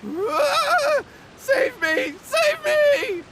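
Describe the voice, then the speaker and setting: A man's voice: very high-pitched, big pitch swings, drawn-out words, very noisy, heavily acted. An actor voicing an anxious, deferential young man in a video game, with an earnest manner. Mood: terrified.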